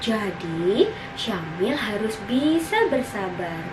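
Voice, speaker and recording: A woman acts as a gentle storybook mother, loving and patient. She explains slowly and soothingly, heard at a distance in an echoey space. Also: mid-range voice, very animated. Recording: roomy, distant, noisy recording.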